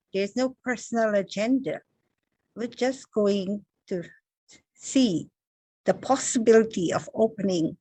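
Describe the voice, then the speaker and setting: A woman's voice: medium pitch, no echo, rough recording. A woman from Guyana, giving an inspirational spiritual talk as a meditation teacher, stressing her words one at a time in emphatic lists.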